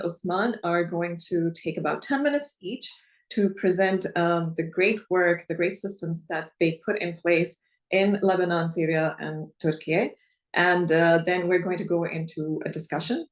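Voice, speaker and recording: Brief: woman hosting an online panel, lowish voice. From Pakistan. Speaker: webinar host and chief program officer. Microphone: video call.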